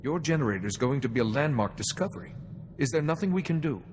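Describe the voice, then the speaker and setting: An actor playing a steady, wise Jedi in a video game, speaking in a calm, measured manner. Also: male voice, mid-range voice, a little hiss or hum, close to an everyday voice. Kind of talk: video game cutscene acting. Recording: long reverb, music underneath.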